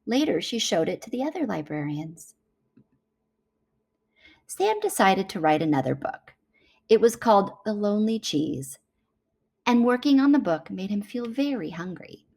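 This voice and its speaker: A woman reading a children's picture book aloud in an animated storytelling manner. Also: medium pitch, normal speed, creaky, pauses often.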